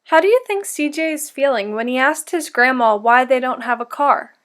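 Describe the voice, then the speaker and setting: A woman teacher giving an interactive storybook read-aloud for children, speaking in an instructive, deliberate way. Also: high-pitched, expressive, fairly bright tone.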